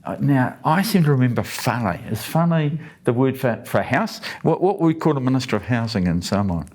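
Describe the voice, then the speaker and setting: A man's voice: mid-range voice, fairly quick. A man, casually walking through the day's parliamentary business with jokey asides. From New Zealand. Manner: relaxed, chatty, jokey asides. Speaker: parliamentary speaker hosting a daily update.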